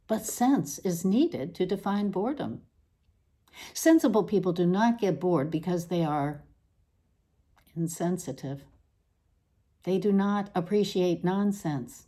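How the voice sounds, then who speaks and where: A woman reading a scripted literary essay aloud with deliberate, theatrical emphasis. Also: on the low side, a little mellow. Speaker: reader.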